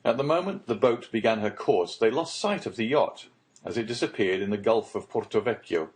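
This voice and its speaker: Male audiobook narrator, lowish pitch, formal, measured, scripted literary storytelling.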